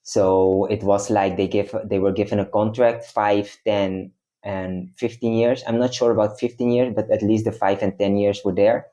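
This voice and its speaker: A man's voice: fairly low pitch, flat delivery, normal speed, mellow. A young man from the Netherlands, a guest in casual chat, explaining at length with many hesitations.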